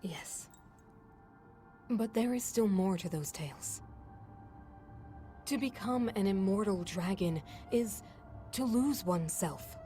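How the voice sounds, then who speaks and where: An actress playing a learned, scholarly non-human sage and older sister, explaining in a measured, thoughtful way. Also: female voice, medium pitch, expressive, dark tone, faint music behind. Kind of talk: game cutscene voice acting.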